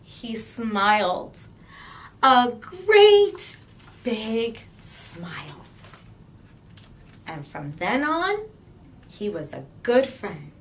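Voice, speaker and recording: A woman reads a children's story aloud as storyteller. She takes her time, leaving dramatic pauses and stressing key words. Her pitch is very lively, moving widely across each phrase. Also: a little hiss or hum. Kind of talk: expressive children's read-aloud narration.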